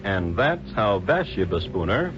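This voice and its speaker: An American man narrating a vintage radio crime show in a deliberate, wry, dramatic way. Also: lowish voice, sing-song, heavy background noise. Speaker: host and narrator. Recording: muffled old radio broadcast.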